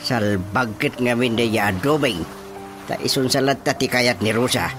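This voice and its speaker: An actor with an Ilocano accent playing an excitable, scheming middle-aged father in a radio drama comedy, with music behind him. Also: mid-range voice, normal speed, natural voice. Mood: plotting. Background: background music.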